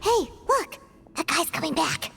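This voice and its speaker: A woman voicing a small childlike fairy companion in a video game, excitable and easily scared, given to exclaiming. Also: extremely high pitch, a little slow, gravelly, a little hiss or hum, heavily acted. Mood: puzzled, excited. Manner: refers to itself in third person, exclaims.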